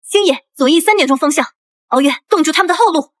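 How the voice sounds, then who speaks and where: Casting: decisive, money-minded young princess for animated drama, high clear-cut voice, very dry close sound. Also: female voice, fast talker, no echo. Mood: commanding. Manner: commanding, self-assured.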